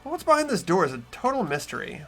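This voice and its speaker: Lively, bright young man with some creak. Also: high-pitched, fast, faint music behind. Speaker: host and lead reader of a game playthrough. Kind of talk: voiced game narration mixed with casual chat.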